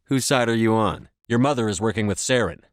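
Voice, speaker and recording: A man's voice: on the low side, brisk, clean tone, no room sound, clean studio sound, close to an everyday voice. A man playing a resolute, authoritative military commander in a video game, speaking in a firm, terse way. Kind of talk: video game voice acting, scripted dialogue lines.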